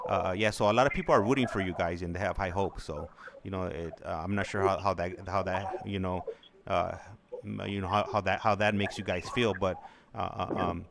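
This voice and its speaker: A man hosting a casual interview show, speaking in a halting, repetitive, hesitant way. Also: deep voice, steady tone, creaky. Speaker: show host. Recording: noisy background with clicks.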